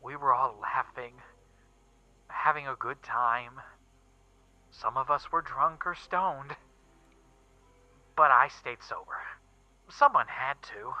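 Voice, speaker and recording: A man playing a shaken young witness recounting an occult horror in a first-person story reading, halting and emphatic, with stammers and repeats. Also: mid-range voice, dry recording, natural voice. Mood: uneasy, haunted. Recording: muffled recording with background music. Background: eerie background music.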